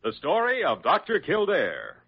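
A man's voice: fairly high pitch, huge pitch swings, normal speed, vocal fry, breathy edge. A male announcer delivering a dramatic scripted introduction in old-time radio style. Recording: dull old radio recording. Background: loud music.

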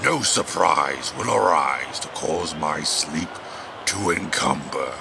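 An actor in an audio drama playing a pompous dog who fights and fancies himself a poet, speaking in grandiose, theatrical recitation with a gravelly voice. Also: male voice, extremely low pitch, lively intonation, takes it slowly, noisy background, heavily acted. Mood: solemn reciting.

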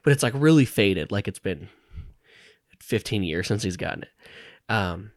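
Low-voiced laid-back game master. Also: male voice, normal speed, no echo, clean studio sound. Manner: relaxed, chuckling, many fillers. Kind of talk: describing scenes in a tabletop game.

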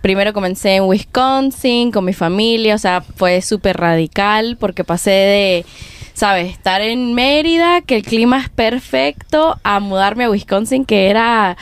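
A woman in her thirties with a Venezuelan accent, a podcast guest chatting casually and telling stories with animated laughter. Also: medium pitch, measured pace, clean tone. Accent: Venezuelan Spanish. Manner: animated, laughs often, lively storytelling. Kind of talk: casual chat. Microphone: podcast microphone.

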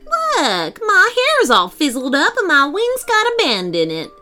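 Brisk, bossy tooth fairy in a storybook, high and bouncy, snappy and fussy, as a woman puts her on. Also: wildly swooping pitch, sharp tone, soft background music, full character voice.

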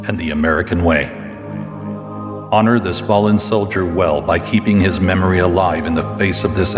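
An actor playing a brooding masked vigilante who delivers a solemn eulogy in a grave, measured audio drama style. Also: male voice, deep voice, lightly acted. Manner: grave, slow, measured oratory. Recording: long hall reverb under loud music.

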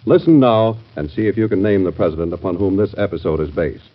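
A man's voice: low voice, quick pace. A man reading a scripted introduction as a stately, earnest 1940s radio announcer. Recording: dull old radio recording.

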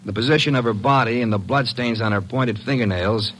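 An actor playing a cocky, wisecracking detective's assistant, slangy and hard-boiled, in an old-time radio drama. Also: male voice, medium pitch, a little fast, a bit bright, slight background noise, close to an everyday voice, low-quality recording. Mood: cool, probing.

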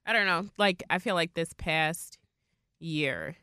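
A woman's voice: on the low side, slow pace, bright, forceful tone, clean tone, no echo, clean studio sound. A young adult woman co-hosting a podcast, talking candidly in a casual chat, blunt and swearing freely with lots of "like" fillers. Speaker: podcast co-host.

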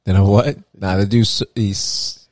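Male podcast host, low voice, dry sound, playful ribbing, casual swearing. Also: steady tone, moderate pace, a little mellow, no echo. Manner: teasing, laughs often, swears casually. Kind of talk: casual chat.

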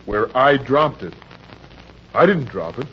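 Casting: bewildered, edgy young husband in vintage radio drama; raspy mid voice, dull sound. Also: male voice, medium pitch, expressive, slow pace, full character voice. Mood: wary. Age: 20s. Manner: defensive, questioning, slangy. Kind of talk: old-time radio drama acting. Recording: dull old radio recording.

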